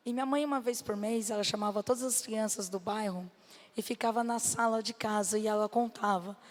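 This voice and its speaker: A woman with a Brazilian Portuguese accent and a slightly hoarse voice, giving a personal testimony to a church congregation as a guest missionary. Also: fairly high pitch, fairly even intonation, fairly quick. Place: church hall. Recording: reverberant hall sound through PA.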